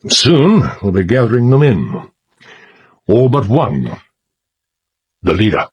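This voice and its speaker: Period drama spymaster: British, urgent and grave, deep-ish husky voice, dramatic emphasis. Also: male voice, big pitch swings, slow pace, lo-fi sound, full character voice. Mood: urgent, insistent. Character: grave, urgent male British intelligence chief. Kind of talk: period audio drama acting.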